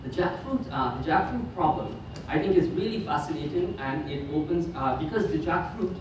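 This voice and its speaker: A middle-aged man from Guyana delivers a conference lecture, stopping often with ums and restarting his sentences. He is recorded in a very echoey hotel hall from some distance, and room noise stays clearly audible behind him. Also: on the high side, expressive. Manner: halting, many ums and restarts. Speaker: guest lecturer.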